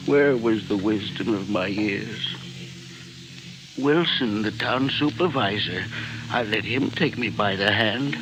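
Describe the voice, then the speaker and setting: A man's voice: lowish voice, slow, pauses often, music in the background. An actor playing a frantic, doubting old man haunted by visions, stammering and repeating himself in an old-time radio mystery style. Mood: troubled, grave. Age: older. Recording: noisy old radio drama recording.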